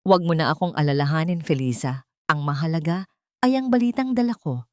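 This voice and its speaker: A woman's voice: deep voice, animated, brisk, darkish tone, dry recording, studio quality. A woman voicing a loyal, determined elderly friend in an urgent, earnest audio drama.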